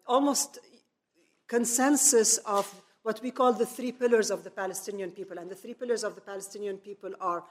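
A middle-aged woman from Lebanon giving a semi-improvised public lecture, phrasing deliberately and stressing key words. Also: a little high. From grew up in Lebanon. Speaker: speaker, activist lecturer.

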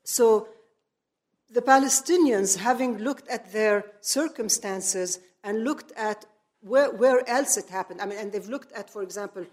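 Female lecturer, middle-aged, from Lebanon, medium pitch, emphatic and explanatory delivery to an audience. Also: a little slow, darkish tone. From grew up in Lebanon. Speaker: speaker, activist lecturer. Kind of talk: public lecture, semi-improvised.

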